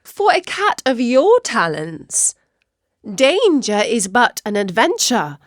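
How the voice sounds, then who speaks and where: A woman's voice: sits high, sing-song, slow, a bit bright, dry recording, studio quality, pitched above the performer's own voice, heavily acted. A woman voicing a teasing, sarcastic mother in a children's story, wry and scolding with an incredulous edge. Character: brisk, teasing, sarcastic mother of a young witch.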